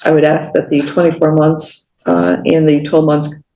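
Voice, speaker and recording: A man's voice: on the high side, little pitch movement, moderate pace, vocal fry, mellow. A man speaking as a prosecutor, giving a formal, matter-of-fact summary in court. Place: echoey indoor courtroom. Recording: muffled, echoey, noisy room.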